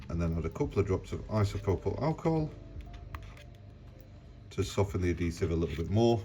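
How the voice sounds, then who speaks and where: A man with a fairly low voice narrates a how-to guide. He is practical and plain-spoken, explaining each step informally as the host of a repair tutorial. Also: darkish tone.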